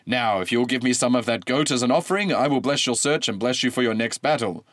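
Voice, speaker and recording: A man voicing a vengeful, commanding male god in a read-aloud myth, booming and imperious. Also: quick pace, a bit bright, dry recording, studio quality, close to an everyday voice. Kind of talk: storybook reading.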